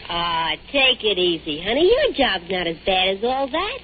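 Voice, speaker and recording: A woman's voice: mid-range voice, sing-song, normal speed, lightly acted. An actress playing a breezy, slangy, boy-crazy working-girl best friend in 1940s radio comedy. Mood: soothing, concerned. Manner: slangy, wisecracking. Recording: tinny old radio recording with hiss.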